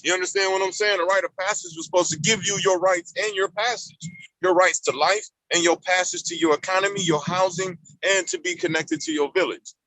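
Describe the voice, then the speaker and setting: A man's voice: high voice, steady tone, a little fast, bright, forceful tone, no echo, rough recording. A man of about fifty on a discussion panel, speaking in a preachy way and often asking if listeners understand him. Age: around 50. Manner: preachy, repeats "you understand what I'm saying".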